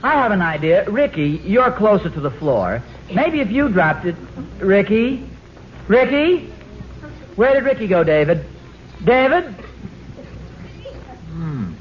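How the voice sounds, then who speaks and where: Mild suburban father, lively intonation, hesitant rambling, old radio sitcom, dull hissy recording. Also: sits high, slow pace, long pauses, full character voice. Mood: sheepish coaxing. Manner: hesitant, rambling, mildly exasperated. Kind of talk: 1940s-50s radio sitcom acting.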